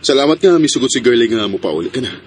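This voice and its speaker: A man's voice: medium pitch, expressive, racing, slight background noise, close to an everyday voice. An actor playing a polite, dutiful young man in a radio drama, earnest and mixing in English. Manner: earnest, mixes English into his speech.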